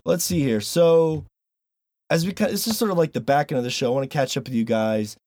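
A man's voice: a little high, a little fast, a little hiss or hum. A man hosting a casual podcast, chatting loosely with some swearing and reading a sponsor plug. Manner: swearing, animated, reads sponsor plugs. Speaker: podcast host.

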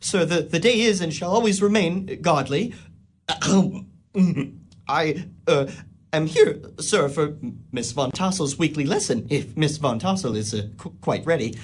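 A man's voice: on the high side, expressive, unhurried, a little hiss or hum. An actor playing a nervous, pious young male schoolmaster who stammers and speaks with fussy formality in a radio play. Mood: flustered. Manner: stammering, hesitant, overly formal. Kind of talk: community radio play acting.

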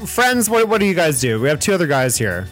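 A man's voice: on the high side, very animated, quick pace, fairly bright tone. A man hosting a casual comedic radio show, bantering with guests in a campy, teasing manner. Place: radio studio. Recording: music behind the voice.

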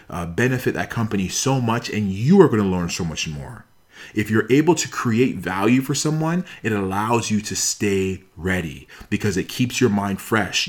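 Male motivational speaker, lowish pitch, emphatic, leans on repetition of key phrases. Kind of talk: motivational talk.

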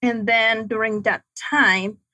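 Slow, halting young woman, second-language English. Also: a little high, steady tone, pushed and bright, dry recording. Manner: halting, reflective, frequent pauses. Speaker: guest. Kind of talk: personal testimony in an interview.

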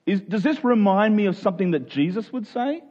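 A man's voice: sits high, animated, brisk, a little mellow, muffled recording. A man preaching a sermon in plain conversational words, earnest, posing rhetorical questions and restating phrases for emphasis.

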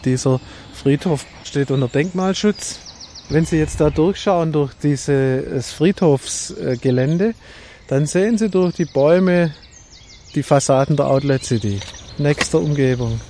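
A man's voice: fairly high pitch, a little slow, warm tone, slight background noise. A man, a town official, explaining things matter-of-factly during an outdoor interview on a walking tour.

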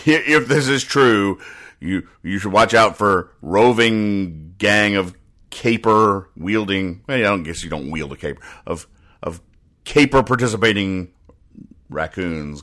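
A man hosting a casual solo podcast, explaining things in a rambling, halting, deadpan way. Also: low-pitched. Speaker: podcast host. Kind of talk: casual solo commentary, explaining comic strips.

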